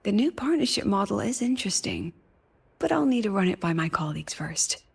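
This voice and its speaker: A woman's voice: medium pitch, big pitch swings, fairly quick, vocal fry, husky, full character voice. A woman playing a weary, wry academy president in a video game, polite and diplomatic with a dry edge. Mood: polite, businesslike. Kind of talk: video game character dialogue. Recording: background music under the voice.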